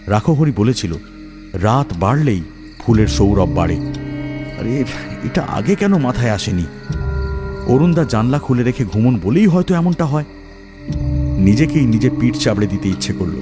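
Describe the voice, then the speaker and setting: A man's voice: mid-range voice, animated, moderate pace, long pauses. A man narrating a first-person horror story in a dramatic style. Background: background music.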